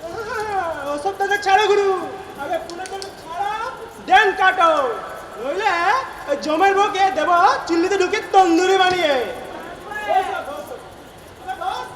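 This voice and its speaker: A man plays a young bandit ghost with menacing swagger in a stage comedy. His voice is extremely high for a man, brash and slangy. It rings in an echoey hall with audience sound behind. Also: unhurried, crowd noise. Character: cocky, menacing young male ghost of train robber. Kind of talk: comic Bengali stage play acting. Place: theatre stage at a drama festival.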